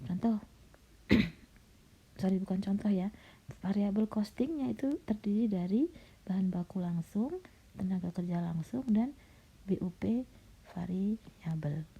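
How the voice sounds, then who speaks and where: Husky, gravelly-voiced woman lecturer. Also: mid-range voice, a little slow, long pauses, mellow. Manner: patient, explanatory, with frequent "nah" and "ya". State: coughing, slightly hoarse. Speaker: university lecturer. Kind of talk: recorded slide lecture. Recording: dull lo-fi recording.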